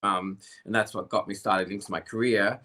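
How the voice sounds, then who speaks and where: A man from Australia with an Australian accent, a dancer chatting as a podcast guest in a lively, easy way. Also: on the low side, normal speed. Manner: lively, laughs often, supportive. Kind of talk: casual podcast chat.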